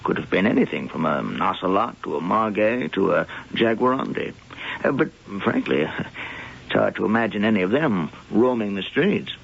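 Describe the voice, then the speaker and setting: Gravelly, hesitant old-radio professor. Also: male voice, lowish voice, animated, heavily acted. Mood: scholarly, guarded. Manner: hesitant, deliberate, with ums and pauses. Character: learned, measured male museum professor, expert on cats. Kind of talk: old-time radio drama acting. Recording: dull old radio drama recording.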